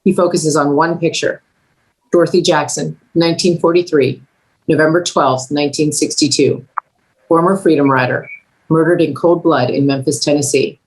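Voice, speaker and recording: A woman's voice: low-pitched, steady tone, normal speed, poor audio. A woman reading scripted stage directions as the narrator of a screenplay table read.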